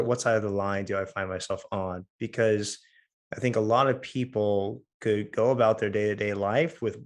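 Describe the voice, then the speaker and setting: A young man hosts a relaxed podcast conversation, his voice hoarse after losing it recently. He talks in a low register, pausing and restarting often with plenty of fillers as he works toward his questions. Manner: halting, many fillers and restarts. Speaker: podcast host. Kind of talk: casual conversational interview.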